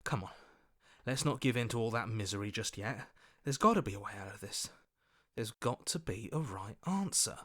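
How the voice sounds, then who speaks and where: A man's voice: on the low side, animated, normal speed, fairly bright tone. A man playing an earnest, hopeful hero's inner voice in a video game, questioning and pleading as he talks.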